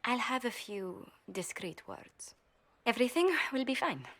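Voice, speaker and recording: An actress playing a poised, cautious court adviser in a video game, measured, formal and grave. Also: female voice, fairly high pitch, expressive, fairly bright tone. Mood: grave warning. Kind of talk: dramatic video game acting.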